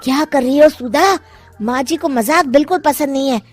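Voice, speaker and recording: A woman voicing a cautious, sensible young sister-in-law in an animated family story. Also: high voice, moderate pace, faint music behind, lightly acted. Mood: hushed caution. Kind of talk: animated moral story voice acting.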